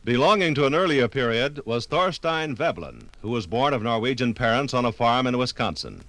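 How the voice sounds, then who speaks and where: A man's voice: mid-range voice, lively intonation, moderate pace, fairly bright tone, no room sound. An American man reading formal, informative scripted narration for a 1950s radio documentary.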